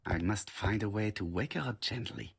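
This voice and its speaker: A man playing a polite, methodical detective in a video game, with formal, precise phrasing and a husky voice. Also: on the low side, normal speed, background noise. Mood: musing. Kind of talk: scripted game voice acting.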